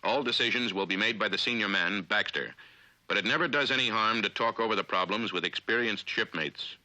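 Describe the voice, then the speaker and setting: Forceful low-voiced American male narrator, 1950s film. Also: dry recording, low-quality recording. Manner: authoritative, clipped, deliberate.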